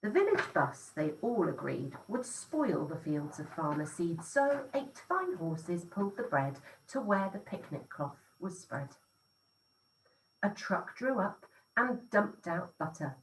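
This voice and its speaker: Slow, expressive woman teacher reading aloud. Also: fairly low pitch, far from the mic, a little hiss or hum, rough recording. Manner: expressive, sing-song storytelling. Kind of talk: reading a rhyming picture book aloud.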